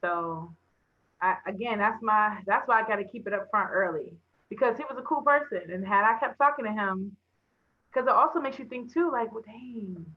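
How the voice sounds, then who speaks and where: A woman's voice: medium pitch, a little fast. Phone-like sound, young woman chatting candidly. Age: young adult. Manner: candid, colloquial. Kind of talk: casual chat. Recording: thin phone-like audio.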